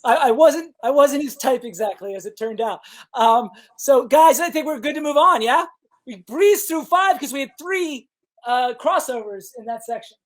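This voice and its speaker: A man's voice: very high-pitched, lively intonation. A man hosting a casual film review podcast, leading the discussion and moving it along. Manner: brisk, leads the discussion. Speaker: podcast host.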